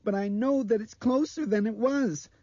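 A man's voice: high voice, moderate pace, dark tone, no room sound, dull audio, pitched above the performer's own voice. A man voicing a blind little girl in an audiobook, dreamy, trembling and insistent. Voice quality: breathy, trembling.

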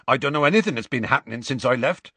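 A huge, grumpy bearded half-giant from a storybook. He speaks gruffly in rustic, muttering phrases, with a husky edge and big swings in pitch, recorded very dry. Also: animated, fast, a bit bright, no room sound.

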